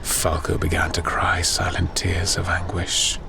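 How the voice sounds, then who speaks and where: A man narrating a dark war horror audiobook in a grave, solemn, dramatic scripted style. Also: low voice, completely flat delivery, unhurried, gravelly, background noise.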